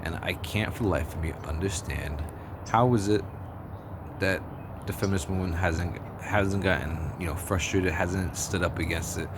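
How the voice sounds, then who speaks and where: A man with a deep bass voice giving a blunt, profane, rambling opinion monologue with many restarts. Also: moderate pace. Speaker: vlogger. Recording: outdoor street noise with passing vehicles.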